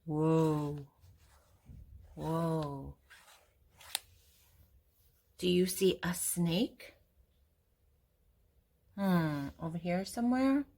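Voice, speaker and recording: Slow-paced older woman reading to children. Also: deep voice. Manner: gentle, unhurried, addressing young listeners directly. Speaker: host reading picture books to children. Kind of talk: read-aloud children's storytime with singing.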